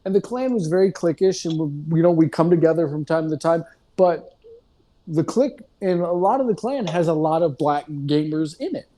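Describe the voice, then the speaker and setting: Conversational man with a mellow voice. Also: on the high side, animated, moderate pace. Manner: conversational, digressive, frequent "you know what I mean". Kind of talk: casual discussion.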